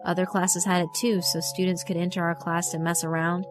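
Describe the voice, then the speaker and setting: A woman's voice: lowish voice, little pitch movement, brisk. A woman reading scripted first-person story narration for a visual novel. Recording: music behind the voice. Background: mallet and glockenspiel music.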